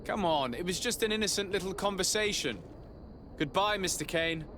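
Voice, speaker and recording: A man's voice: sits high, moderate pace, pushed and bright, slight background noise. An actor playing a polite, earnest young nobleman and diplomat in a video game, speaking articulately. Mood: light, courteous. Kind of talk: dramatic game dialogue.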